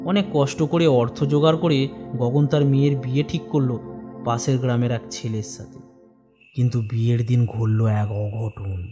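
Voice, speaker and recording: Concerned village uncle for horror cartoon, played by a man: middle-aged, mid-pitched, familiar elder storyteller. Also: fairly even intonation, moderate pace, over music, natural voice. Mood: grave storytelling. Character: older village uncle, fish trader, family friend, concerned.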